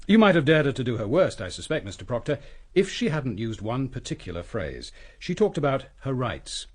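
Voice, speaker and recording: Husky, probing British police inspector. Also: male voice, medium pitch, sing-song, darkish tone. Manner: measured, deliberate, theatrical reconstruction of events. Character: coolly brilliant male police inspector, methodical and probing. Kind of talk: British radio detective drama.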